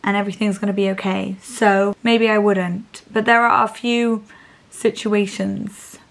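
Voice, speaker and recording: A woman in her thirties chatting candidly to camera in a Q&A, full of "like" and "honestly", keeping her voice low while it recovers from strain. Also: level tone, unhurried, vocal fry, low-quality recording. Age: 30s. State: voice still recovering, speaking low. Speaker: vlogger. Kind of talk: casual Q&A chat to camera.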